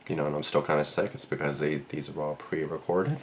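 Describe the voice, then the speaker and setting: Reaction host, young man, sick and sniffly, deep voice, rambling and sarcastic. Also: steady tone, quick pace, slight background noise. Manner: rambling, filler-heavy, sarcastic asides. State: sniffly with a cold. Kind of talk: casual reaction commentary.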